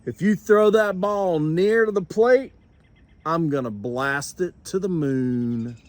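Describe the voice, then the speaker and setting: Man voicing a high-pitched boastful storybook slugger. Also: takes it slowly, dry recording. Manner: boastful, taunting. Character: big boastful male batter in a mouse ballgame. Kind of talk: children's storybook read-aloud.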